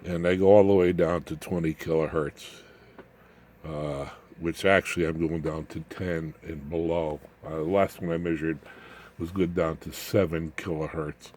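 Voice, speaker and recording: A man with a low, deep voice explains things informally as he works at his bench. His delivery is folksy and rambling, with some dry humor. The handheld recording carries some background noise. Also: steady tone, moderate pace, a little mellow. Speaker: electronics hobbyist host. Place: indoor home electronics workbench. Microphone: handheld camera or phone mic, off screen.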